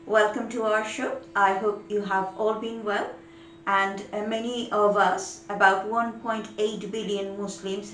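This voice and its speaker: Slow-speaking woman hosting a cooking show. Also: medium pitch, a bit bright, poor audio. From Bangladesh. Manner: slow, careful step-by-step explaining. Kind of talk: home cooking demonstration.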